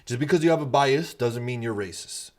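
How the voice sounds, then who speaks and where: A man's voice: medium pitch, a little fast, a little mellow. A man co-hosting a podcast, talking bluntly and emphatically in a casual debate, swearing freely. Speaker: podcast co-host.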